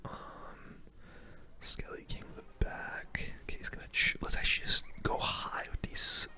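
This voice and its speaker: A man's voice: measured pace, pushed and bright. A young man whispering casual, slangy ASMR commentary as he plays a video game, close to the mic. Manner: slangy, casual, reacting to play. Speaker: gaming video host.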